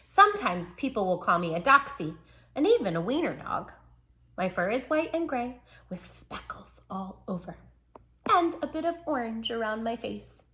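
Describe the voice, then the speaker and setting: A small storybook dachshund read by a woman tells his diary in a bright, highly animated voice. He is proud, affectionate and a bit stubborn, with playful emphasis and drawn-out phrases.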